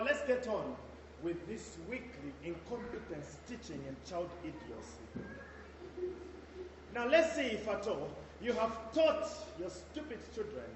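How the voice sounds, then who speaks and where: High, lively-voiced tyrant headmistress, played by a man for an amateur stage play, sneering and threatening; recorded from far off in an echoey hall. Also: noisy background. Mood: scornful. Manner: booming threats, sneering insults. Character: tyrannical, bullying school headmistress.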